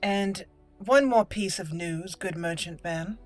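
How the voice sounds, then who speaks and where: A woman voicing a proud, courteous young elf mage from a fantasy game, with formal, measured phrasing. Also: medium pitch, soft background music, lightly acted. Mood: curious, wry.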